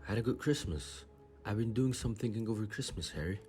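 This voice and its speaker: Deep-voiced, earnest team captain, acted by a man. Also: fairly quick, faint music behind, lightly acted. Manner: earnest, excitable. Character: earnest, sports-obsessed teenage boy team captain. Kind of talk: fan audio drama acting.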